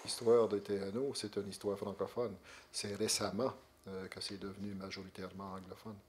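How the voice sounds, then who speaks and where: An older man with a Québécois accent and a fairly low voice. He talks in a measured, halting way, often pausing on fillers. There is only faint background noise behind him. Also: little pitch movement, a little mellow. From Quebec, Outaouais. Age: 60s. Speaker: interviewee, longtime local resident. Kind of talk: documentary interview.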